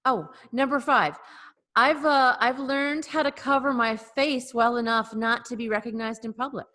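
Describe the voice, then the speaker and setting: A woman with a fairly high voice reads out a comic list on a video-call podcast with a wry, giggly delivery. Her audio has a long, echoing reverb. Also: normal speed, pushed and bright. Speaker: podcast co-host. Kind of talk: casual chat over video call.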